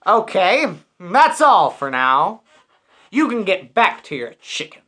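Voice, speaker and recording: A woman playing a blunt, wry detective in a scripted radio parody, with a deadpan, theatrical delivery. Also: mid-range voice, takes it slowly, full character voice. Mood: dry, probing. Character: blunt, wry detective narrating a murder investigation.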